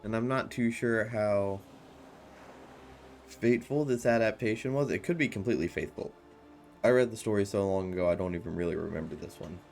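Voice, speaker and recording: A man's voice: on the low side, brisk, creaky, a little mellow. A man giving casual, informal game commentary, reacting offhand as he plays. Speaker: let's play commentator. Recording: music behind the voice.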